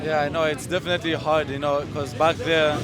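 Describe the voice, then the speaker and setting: A man's voice: fairly high pitch, level tone, fairly quick. A young man from Uganda, a racer giving easygoing answers in an outdoor press day interview. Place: outdoors at a race track. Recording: outdoor crowd noise.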